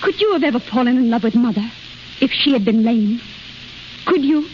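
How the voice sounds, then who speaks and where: Woman as a high-voiced, proud, anguished young heroine. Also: lively intonation, normal speed, natural voice. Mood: anguished, impassioned. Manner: intense, dramatic, earnest. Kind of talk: 1930s radio drama acting. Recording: muffled, noisy 1930s radio recording.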